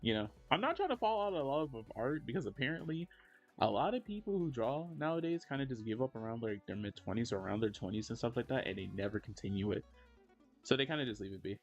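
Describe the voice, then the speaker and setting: A man in his thirties streaming as a digital artist, chatting casually with his viewers while he draws. He rambles and uses lots of fillers, and his voice jumps up in pitch when he reacts to the chat. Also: lively intonation, quick pace, dull audio, faint music behind. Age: 30s.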